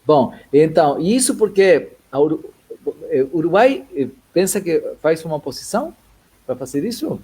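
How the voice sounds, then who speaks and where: A man with a slightly higher, husky voice talks with animation and switches freely between Portuguese and Spanish. His tone is dark and mellow. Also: big pitch swings, normal speed. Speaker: composer, guest. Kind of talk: casual conversation.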